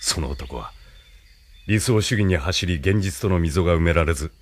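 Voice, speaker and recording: An actor playing a calm, imperious military leader in an audio drama, with a measured, condescending, lecturing manner. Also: male voice, low voice, slight background noise, natural voice. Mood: cold, commanding. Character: calm, imperious male military leader, idealist turned cynical schemer. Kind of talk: anime-style audio drama acting.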